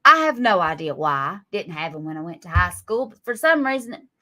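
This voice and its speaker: A woman's voice: on the low side, very animated, moderate pace, bright, forceful tone. A woman, scolding and mocking her critics on a live stream in a sarcastic drawl. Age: 40s. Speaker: livestream guest.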